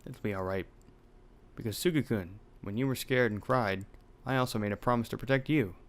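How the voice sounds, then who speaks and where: A man's voice: lowish voice, a little fast. Young man reading a horror game aloud. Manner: reads dialogue dramatically, swears in asides. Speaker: let's play host.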